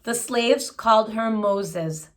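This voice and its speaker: A woman's voice: fairly high pitch, slow. A woman reading a children's picture book aloud, phrasing it expressively and adding simple explanatory asides for young listeners. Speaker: children's story reader.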